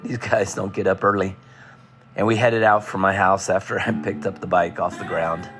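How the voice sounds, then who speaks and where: Low-voiced man hosting a travel vlog. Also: little pitch movement, normal speed, a bit bright, faint music behind. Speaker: host. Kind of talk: vlog narration and to-camera talk.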